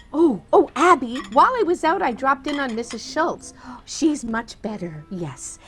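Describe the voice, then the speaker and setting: Actress as kindly, scatty old lady for radio farce; slightly high, animated voice, genteel and matter-of-fact. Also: unhurried, faint music behind. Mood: chatty. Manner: genteel, doting. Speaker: actor. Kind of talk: theatrical radio comedy acting.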